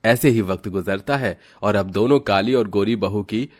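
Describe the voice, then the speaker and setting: A man narrating an animated moral tale for children in a scripted storytelling style. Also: on the low side, moderate pace.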